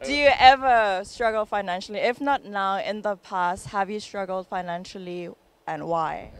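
A woman's voice: mid-range voice, moderate pace, clear, resonant tone. A woman interviewer asking polite, probing questions.

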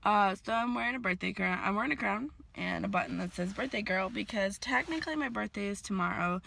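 A woman's voice: mid-range voice, brisk, gravelly, a bit bright, a little hiss or hum. A woman in her twenties, chatting casually and rambling with lots of fillers, filming herself on a phone held at arm's length inside her car. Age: 20s. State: hoarse, losing her voice. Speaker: vlogger. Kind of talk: casual vlog chat.

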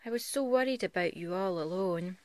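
A woman voicing a caring, protective father rabbit from a storybook in a gentle parental manner. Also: fairly low pitch, a little fast, slight background noise, close to an everyday voice. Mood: relieved.

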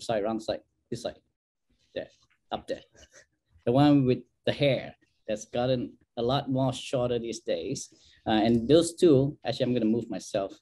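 A man's voice: mid-range voice, vocal fry, mellow. A middle-aged man from Malaysia on a video call, presenting in a casual, joking way and laughing often. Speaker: guest speaker, university dean. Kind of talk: casual, self-deprecating presentation. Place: home office with plants.

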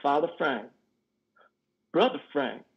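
A man in his thirties playing a lonely, guilt-ridden, simple-minded trucker in a play reading, with halting, folksy speech. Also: on the high side, big pitch swings, vocal fry, breathy edge, exaggerated voice. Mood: childlike delight. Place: indoor room on a video call. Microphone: headset. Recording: muffled video-call audio.

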